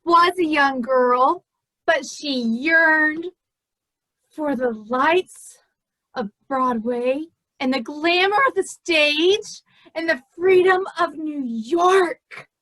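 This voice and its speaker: Woman as dreamy, dramatic community theater actress, high-pitched, lingering delivery, web farce. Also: drawn-out words, fairly bright tone, exaggerated voice, low-quality recording. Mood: grandiose. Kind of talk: farcical web comedy acting.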